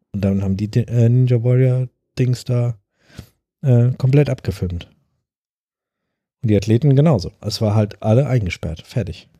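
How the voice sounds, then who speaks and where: Rambling man with a very dark voice. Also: medium pitch, dry recording. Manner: rambling, halting, many fillers. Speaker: podcast host. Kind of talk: casual chat.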